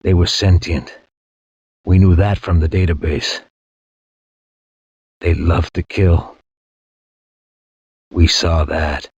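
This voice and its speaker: A grim veteran soldier narrates a video game war story in a very low, heavily rasping voice. The delivery is slow, solemn and flat, with a war-weary weight. It sounds close and dry over faint music. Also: male voice, no echo, dull audio, full character voice. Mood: grim, haunted. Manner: grave, measured, monotone. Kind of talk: solemn scripted cutscene narration.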